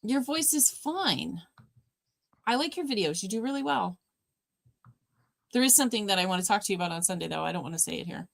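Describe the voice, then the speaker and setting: A woman with a deep voice hosting a live watch party, chatting casually and answering viewers' comments by name. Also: animated, a little fast, creaky, long pauses. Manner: chatty, warm replies to chat, addresses viewers by name. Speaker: livestream host.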